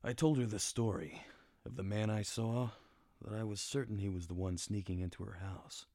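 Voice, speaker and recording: Low, mellow hardboiled detective played by a man. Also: moderate pace, lightly acted. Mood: dry, weary. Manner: deadpan, hardboiled. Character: world-weary wry male private detective, adult. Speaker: narrator and lead actor. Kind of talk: noir radio drama, scripted first-person narration.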